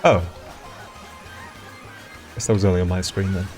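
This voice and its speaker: A man's voice: low-pitched, fairly even intonation, normal speed, a little mellow, soft background music. A young man who is not a native English speaker, chatting and laughing casually while playing a racing game with friends. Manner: chatty, laughs easily, reminiscing. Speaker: gamer streaming with friends. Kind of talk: casual gaming banter.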